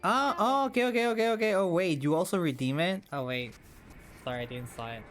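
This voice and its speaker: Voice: mid-range voice, expressive, fairly quick, soft background music. A game streamer chatting casually to a live stream.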